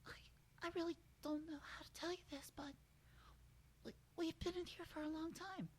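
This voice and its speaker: A man voicing a timid, gentle young female cartoon pony in a hesitant, hushed, breathy falsetto. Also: fairly even intonation, normal speed, a little hiss or hum.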